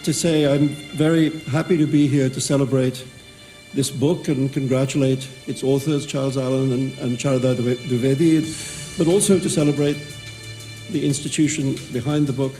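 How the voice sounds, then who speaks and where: Mellow-voiced man from Mumbai speaking. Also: medium pitch, fairly even intonation, moderate pace, faint music behind. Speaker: event speaker. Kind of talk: short speech then reading.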